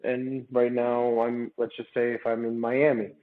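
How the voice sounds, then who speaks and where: A man's voice: medium pitch, monotone, a little slow. A man being interviewed as a startup founder, talking at length in a conversational, explanatory way and checking in with tag questions. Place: remote call. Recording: muffled, phone-like remote call audio.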